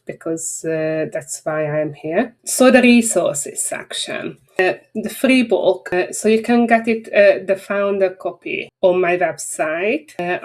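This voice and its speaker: A woman's voice: lowish voice, darkish tone. A woman with a Hungarian accent, a native Hungarian speaker, closing a marketing webinar in a chatty, rambling, frank way. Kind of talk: casual webinar wrap-up talk.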